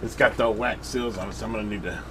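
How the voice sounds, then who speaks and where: A man's voice: fairly low pitch, quick pace, background noise. A man casually reviewing a radio at his workbench, rambling with lots of fillers and restarts.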